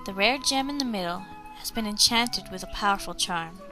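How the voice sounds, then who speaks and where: A woman voicing a kind grown-up female pony princess in a storybook, a gracious elder-sister mentor giving gentle, solemn advice. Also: medium pitch, normal speed, music in the background, natural voice. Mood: solemn, cautioning.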